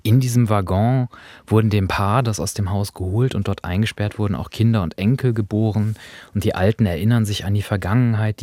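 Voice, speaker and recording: A man speaks in a fairly low register, explaining things thoughtfully as the host of a discussion. The sound is dry and close, with no room around it. His delivery is conversational. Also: darkish tone, no echo. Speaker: podcast host introducing radio dramas. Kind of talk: conversational discussion of a radio play.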